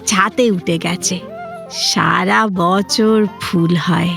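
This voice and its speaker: A woman's voice: a little high, full character voice. A woman playing a lonely older widowed mother, reminiscing wistfully in an audio drama monologue. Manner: unhurried, wistful, pausing. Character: lonely widowed mother who tends her balcony garden, reminiscing.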